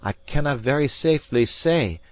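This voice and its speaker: A man voicing a proud, fierce Highland gentleman with a Scottish accent, gruff and blustering, in an audiobook reading. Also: lively intonation, moderate pace, no echo, close to an everyday voice. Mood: earnest.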